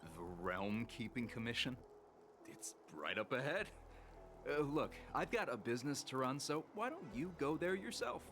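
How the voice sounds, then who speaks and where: A man voicing a hurried, reluctant businessman in a video game, brusque, wary and put-upon. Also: mid-range voice, sing-song, noisy background. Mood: impatient.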